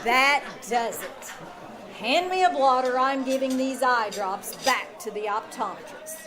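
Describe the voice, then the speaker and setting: A woman playing a sharp-tongued, dryly sarcastic schoolteacher in a live old-time radio comedy. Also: high voice, lively intonation, music in the background. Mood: wry. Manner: dry, sarcastic quips, brisk. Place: small-town theater stage, live performance. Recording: live theater stage with audience.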